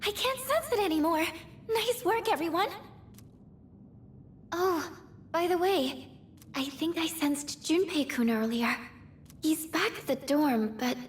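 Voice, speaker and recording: A woman's voice: extremely high pitch, animated, slow pace, long pauses, a little hiss or hum, full character voice. A woman voicing an earnest, caring teenage girl who guides a team through battles in a video game. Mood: concerned, gentle. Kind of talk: English game voice acting.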